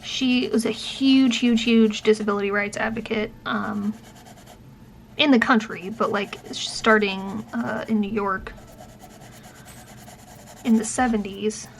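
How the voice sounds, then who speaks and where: A woman's voice: mid-range voice, steady tone, takes it slowly, vocal fry, pauses often, a little hiss or hum. A young adult woman talking casually to camera, chatty and full of fillers and restarts. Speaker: vlogger and professor. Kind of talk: casual chat.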